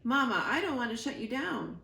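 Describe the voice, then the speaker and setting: A woman's voice: on the high side, sing-song, fairly quick, vocal fry, sharp tone, roomy sound, exaggerated voice. A woman voicing an anxious, curious young male gosling in an eager, squawky storybook voice. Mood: alarmed.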